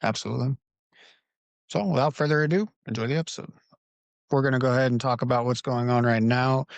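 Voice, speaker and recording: A man hosting a casual basketball podcast, talking in a relaxed, conversational way and leading the discussion. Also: mid-range voice, no room sound. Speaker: podcast host. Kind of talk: casual sports podcast talk. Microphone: home recording setup.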